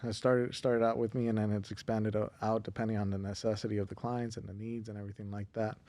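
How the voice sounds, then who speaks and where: A man's voice: on the low side, flat delivery, quick pace, dark tone. A man from Honduras, a tax attorney guest on a podcast, explaining his work in an easygoing, conversational way. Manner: easygoing, explanatory, laughs lightly. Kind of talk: casual interview chat.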